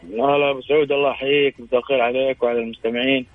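A Saudi man chats casually as a radio guest, his voice tired and strained. He speaks quickly at a medium pitch with courteous ease, through slightly muffled audio. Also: level tone, dry recording. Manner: courteous greetings. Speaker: guest on a sports radio show. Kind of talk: casual chat. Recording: slightly dull, limited sound.

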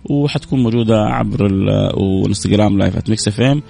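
A man's voice: on the low side, rapid-fire, creaky, mellow. A man hosting a radio show, chatting casually and joking with his listeners as he signs off. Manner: chatty, joking, laughs often. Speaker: radio host.

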